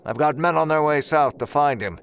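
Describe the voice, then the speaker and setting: An actor playing a coolly calculating, sinister boss of a secret project in an audio drama, speaking in a measured, formal, ominous way. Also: male voice, on the high side, slight background noise, close to an everyday voice.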